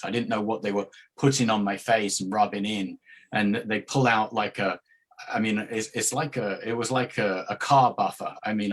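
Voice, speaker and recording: A man's voice: lowish voice, a bit bright. A man with a hard-to-place mixed accent, a guest on a podcast, telling his story in a hesitant, rambling way with many restarts. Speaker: guest, travel video maker. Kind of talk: casual podcast interview.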